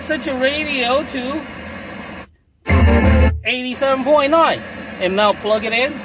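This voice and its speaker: A man's voice: extremely high pitch, slow, over loud music, low-quality recording. A middle-aged man demonstrating a gadget from inside a parked car, explaining in a repetitive, salesy way. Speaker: product demonstrator. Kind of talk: product demo.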